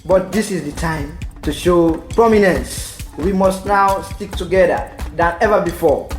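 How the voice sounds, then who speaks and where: A man playing an aggrieved village faction leader, speaking in a measured, oratorical way in an audio drama. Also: sits high. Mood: bitter, rallying. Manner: measured, oratorical, proverb-laden. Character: aggrieved male village faction leader rallying his men. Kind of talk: amateur audio drama acting. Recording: echoey room with music underneath.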